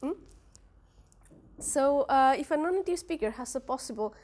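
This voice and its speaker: A woman's voice: high-pitched, animated, normal speed, a little mellow. A young woman from a Spanish-speaking country, a native Spanish speaker with a Spanish accent, presenting her research at a workshop with many fillers and restarts. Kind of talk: academic presentation.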